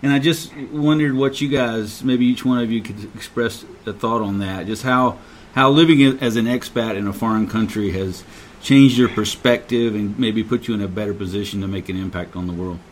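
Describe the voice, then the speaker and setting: A man's voice: on the low side, steady tone, moderate pace, slight background noise. A man from the United States hosting an interview show, asking rambling, casual questions.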